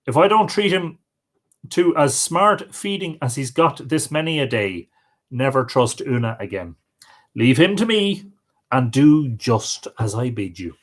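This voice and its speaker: A man voicing a shrewd, bossy giant's wife from a folk tale, with a brisk, assured manner. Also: mid-range voice, expressive. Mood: bossy, scolding.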